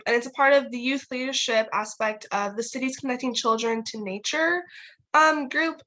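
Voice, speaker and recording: A woman's voice: high voice, a bit bright, rough recording. A nineteen-year-old woman chairing a youth group's video call, chatty and full of fillers. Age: young adult. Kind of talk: casual online meeting talk.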